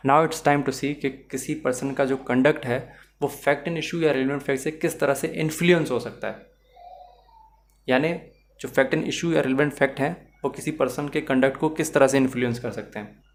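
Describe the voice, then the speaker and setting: A Pakistani man speaks as a law lecturer at a medium pitch. He explains in a didactic way, talking straight to the learner and working through examples. English terms and phrases are woven through his sentences. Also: steady tone, normal speed. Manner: didactic, addresses the learner directly.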